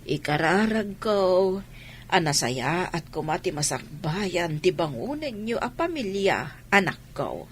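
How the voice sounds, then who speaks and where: A woman with an Ilocano accent playing a gentle, weary, supportive mother in a radio drama. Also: on the low side, very animated, measured pace, slight background noise. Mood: tender.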